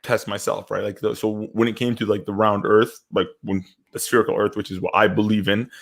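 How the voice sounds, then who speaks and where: A fairly low-pitched man in his twenties, from Syria and Canada, talks casually in a live discussion. He rambles and feels his way through points, often restarting and repeating words. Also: little pitch movement, brisk, vocal fry. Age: 20s. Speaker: podcast co-host.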